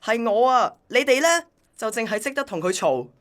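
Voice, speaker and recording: Woman acting young male courier, fairly high pitch, Hong Kong Cantonese, possessive, resentful, radio drama. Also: animated, a little fast, close to an everyday voice. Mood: bitter, reproachful.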